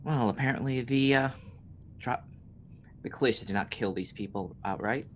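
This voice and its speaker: A man's voice: medium pitch, moderate pace, a little hiss or hum. A man playing a tabletop roleplaying game, talking through a situation in character with the group.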